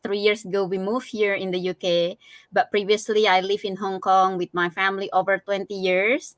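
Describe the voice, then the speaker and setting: A woman's voice: medium pitch, little pitch movement, normal speed, no echo. A middle-aged woman with an Indonesian accent, giving a casual personal account as a guest, hesitantly and with many small filler phrases. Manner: hesitant, frequent "you know". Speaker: interview guest.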